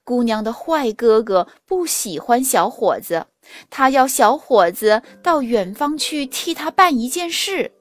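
A woman with a standard Mandarin accent narrating a fairy tale for children in an expressive, carefully enunciated manner, as a storyteller and teacher would. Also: a little high. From China. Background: music under the narration.

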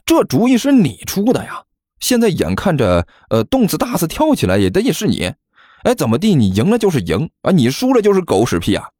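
A man's voice: fairly high pitch, wildly swooping pitch, brisk, darkish tone, no room sound, clean studio sound, voice pushed up. A man voicing a smug, chubby male student in an audiobook, drawling with mock concern as he needles his rival. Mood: gleeful gloating. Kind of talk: audiobook character acting.

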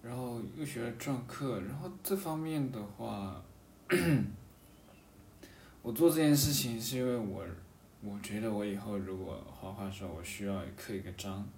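A man chatting reflectively in a hesitant, halting way, often repeating himself as he searches for words. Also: fairly low pitch, a little mellow. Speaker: podcast guest, painter. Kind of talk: reflective casual chat. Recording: distant mic, echoey room, faint background noise.

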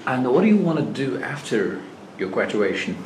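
A man runs a speaking-test interview, asking short questions at a mid pitch. The room is echoey and a clear background noise runs under his voice. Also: sing-song, normal speed. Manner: brief prompts, frequent backchannel mm-hmm. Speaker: examiner.

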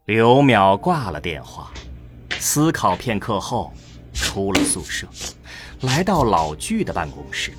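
A man's voice: fairly low pitch, sing-song, takes it slowly, over music. A man narrating an audio drama from a script in a dramatic storytelling style.

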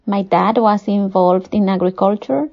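Female guest expert, Peruvian Spanish accent, gravelly edge, measured formal explanations, slightly muffled recording. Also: mid-range voice. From Peru. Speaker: guest, poultry nutritionist. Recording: dull, muffled lo-fi audio.

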